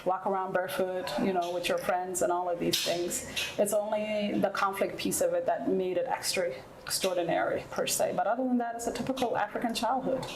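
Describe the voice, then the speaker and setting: A woman's voice: fairly low pitch, fairly even intonation, brisk, roomy sound, noisy background. A woman from Ethiopia and South Sudan, whose first language is Nuer, answering interview questions frankly and reflectively, often checking in with "right?". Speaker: guest.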